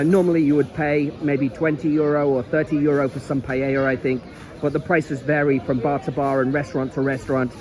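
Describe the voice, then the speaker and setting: Liverpool guy vlogging on a seaside promenade, kinda high voice, chatty and rambling. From England. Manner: chatty, rambling, repeats words. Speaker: travel vlogger. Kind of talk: casual walking travel vlog.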